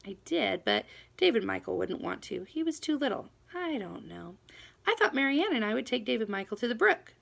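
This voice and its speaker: A woman reading a children's novel aloud in an expressive, animated first-person storytelling manner. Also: on the high side, brisk, vocal fry. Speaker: audiobook reader.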